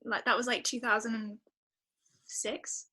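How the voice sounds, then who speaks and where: A woman's voice: a little high, level tone, unhurried, pushed and bright. A woman with a Northern English accent chatting casually and informally as an interview guest. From northern England. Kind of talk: casual chat.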